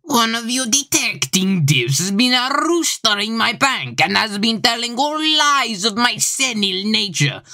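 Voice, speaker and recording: A man's voice: extremely high pitch, big pitch swings, measured pace, sharp tone, pitched above the performer's own voice, heavily acted. A teenage boy voicing an indignant, stuffy old bank manager in an audio play, blustering and formal. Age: teens.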